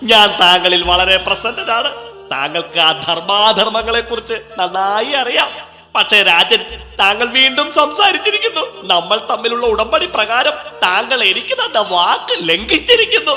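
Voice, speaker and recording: A cackling, mischievous vetala spirit of a banyan tree, teasing a king in a dubbed cartoon. The voice sits high and swings wildly, with a piercing, bright edge. It rings out in a long, echoey reverb over music. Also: big pitch swings, normal speed, full character voice. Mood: gloating. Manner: cackling, teasing, theatrical storyteller.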